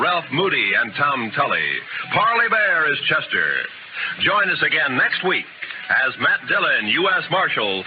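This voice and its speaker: A man's voice: a little high, noisy background. A man reading scripted closing credits as a 1950s American radio network announcer. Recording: dull old radio broadcast, loud music behind. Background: loud music.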